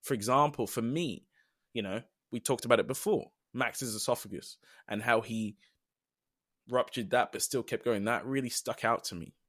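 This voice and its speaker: A man's voice: medium pitch, normal speed, a bit bright. Earnest British man, reflective podcast co-host. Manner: earnest, reflective, speaks in long trailing phrases. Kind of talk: casual podcast chat.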